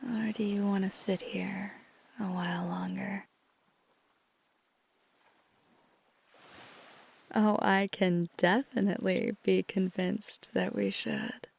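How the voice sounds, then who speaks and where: A woman playing a caring, flirty female vampire lover in an intimate ASMR roleplay, speaking tenderly and reassuringly in a breathy, husky half-whisper. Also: medium pitch, little pitch movement, a little slow, a little mellow, exaggerated voice. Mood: flirty, playful. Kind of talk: ASMR roleplay, intimate one-sided dialogue.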